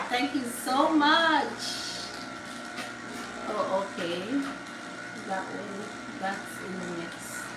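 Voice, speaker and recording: A woman's voice: medium pitch, recorded from a distance. A young woman with a Jamaican accent chatting on a live stream, laughing often and thanking her viewers. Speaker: livestream host. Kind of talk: casual live-stream chat. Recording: roomy sound from off-mic, background noise.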